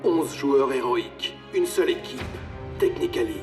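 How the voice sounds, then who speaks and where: Guy doing dramatic trailer announcer, ominous n grand, mid pitch, music under it. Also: animated, moderate pace, lightly acted. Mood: ominous, grandiose. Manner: solemn, dramatic. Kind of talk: trailer-style cartoon announcing.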